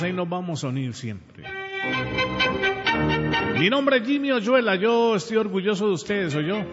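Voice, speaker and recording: A man from Pereira, Colombia, with a Colombian accent, closing his radio show with heartfelt thanks and blessings. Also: fairly high pitch. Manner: earnest, heartfelt, religious blessings. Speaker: radio host. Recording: muffled radio audio with long reverb and music bed.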